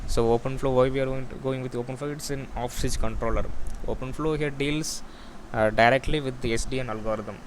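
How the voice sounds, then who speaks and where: Man, mid-pitched voice, halting technical presenter, repetitive explanations, unscripted walkthrough, faint background noise. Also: fairly even intonation. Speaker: student or developer presenting a project.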